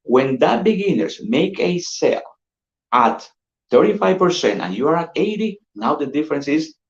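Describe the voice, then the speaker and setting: A man with a Colombian Spanish accent, a sales manager giving an energetic, persuasive pitch and calling his listeners "guys" over and over. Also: normal speed, vocal fry. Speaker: insurance sales manager presenting. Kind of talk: upbeat sales pitch on a call. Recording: dull roomy lo-fi audio.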